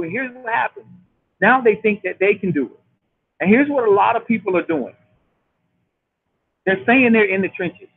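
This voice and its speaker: Husky high-voiced man on a phone line. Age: 40s. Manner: preachy, emphatic and repetitive. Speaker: show host. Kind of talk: motivational talk. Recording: tinny phone-line sound.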